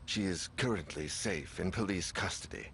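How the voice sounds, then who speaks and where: An actor playing a weary, troubled man investigating a horror mystery in a video game, speaking gravely. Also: low voice, expressive, normal speed, slight background noise, exaggerated voice. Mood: grim. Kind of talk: dramatic video game narration and dialogue. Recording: loud music behind the voice.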